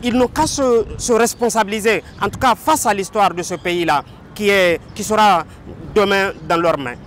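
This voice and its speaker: Guy in his 40s, kinda high voice, lots of euh and restarts, a bit of street noise behind. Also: moderate pace, fairly bright tone. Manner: hesitant, repeats words, uses "n'est-ce pas" as a filler. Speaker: interviewee. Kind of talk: public statement to a street microphone.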